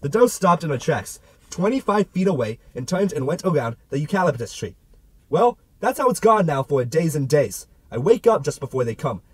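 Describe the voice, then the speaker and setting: A man's voice: on the high side, sing-song, normal speed, darkish tone, husky, no room sound. A man narrating a literary novel as an amateur audiobook reader, with a theatrical delivery.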